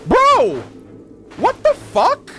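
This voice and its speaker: A man's voice: very high-pitched, huge pitch swings, very slow, over music. A man giving casual, slangy, profanity-laced commentary as he streams a game. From United States.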